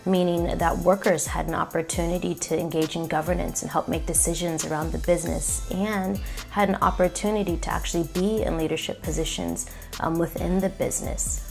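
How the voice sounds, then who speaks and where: Earnest low woman with gravelly voice. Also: darkish tone. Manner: reflective, earnest, with frequent ums. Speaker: community organizer. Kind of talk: personal storytelling talk.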